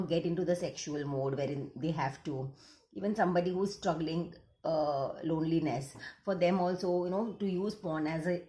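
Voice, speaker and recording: A woman's voice: fairly low pitch, warm tone, echoey room. A woman counseling psychologist with an Indian accent, Gujarati her first language, talking earnestly to camera and slipping into Hindi mid-sentence. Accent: Indian English. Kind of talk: casual talk to camera.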